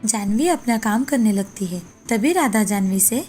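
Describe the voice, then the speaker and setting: A woman's voice: mid-range voice, animated, normal speed, a little mellow. A woman narrator reading a scripted moral fairy tale as storytelling. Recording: background music under the voice.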